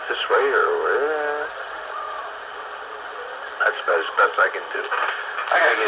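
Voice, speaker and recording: Older man on very noisy radio. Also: deep voice. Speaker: radio hobbyist. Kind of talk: casual two-way radio chat. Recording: muffled, very noisy two-way radio transmission.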